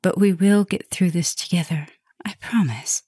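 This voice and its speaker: Low-voiced, intimate vampire mistress, acted by a woman. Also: normal speed, warm tone, breathy edge, no room sound, clean studio sound. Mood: serious, protective. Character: caring, softly dominant female vampire professor, protective mistress. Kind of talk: ASMR roleplay, speaking intimately to the listener.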